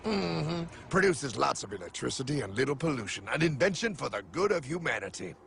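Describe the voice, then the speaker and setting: A man voicing an excitable, zealous cartoon guide who rants against evil bankers in an emphatic, conspiratorial way. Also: mid-range voice, a little fast, vocal fry. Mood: dramatic explaining. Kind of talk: animated cartoon dialogue. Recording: dramatic music behind the voice.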